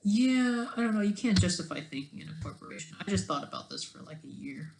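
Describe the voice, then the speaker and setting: A man with a fairly high, very animated voice rambles casually through his own ideas. He stops and starts, trails off and tacks on little questioning checks. The recording is strongly echoey, like an untreated room. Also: creaky, background noise. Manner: halting, trailing off and jumping between thoughts. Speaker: solo online video creator. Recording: echoey, roomy sound.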